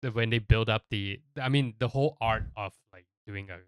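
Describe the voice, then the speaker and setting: A man's voice: lowish voice, fairly quick, clean tone, no echo, studio quality. A man from Malaysia hosting a casual podcast, explaining things in a rambling way and often checking in with "right?" and "you know". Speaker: podcast host.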